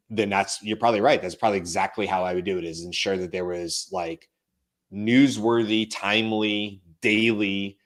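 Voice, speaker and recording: A man with a fairly low voice co-hosts a live talk show, chatting loosely with plenty of fillers. His voice is going hoarse. He sounds like a desk mic on a remote call. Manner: relaxed, rambling, frequent fillers. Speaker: co-host. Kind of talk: casual live show chat.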